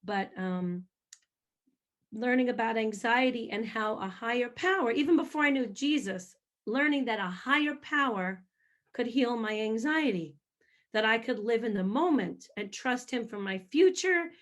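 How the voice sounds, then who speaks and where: A woman singer answering interview questions about her faith, telling her story in a lively, hyper way. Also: a little high, unhurried. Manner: animated storytelling, self-described hyper.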